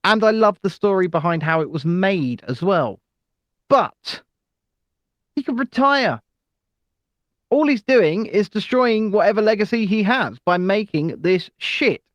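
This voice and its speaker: Sex: male. Role: live podcast co-host. Pitch: a touch high. Sound: dry and close. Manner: rambling, full of ums and tangents. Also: expressive, measured pace, no room sound. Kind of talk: casual live chat.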